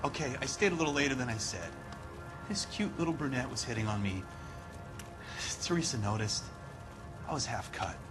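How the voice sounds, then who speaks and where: An American actor playing a defensive, resentful husband under police suspicion in a video game, speaking plainly. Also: male voice, mid-range voice, animated, normal speed, pauses often, over music, natural voice. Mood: guarded, admitting. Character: defensive, resentful husband suspected of killing his wife. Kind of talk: video game dramatic acting.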